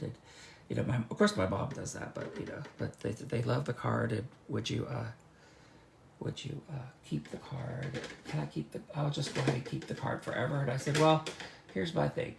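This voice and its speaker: Chatty hoarse man with a gravelly voice. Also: medium pitch, a little hiss or hum. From North Carolina. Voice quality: hoarse, sometimes nasal. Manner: chatty and rambling, frequent fillers and restarts. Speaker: card-making demonstrator. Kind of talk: casual craft demonstration.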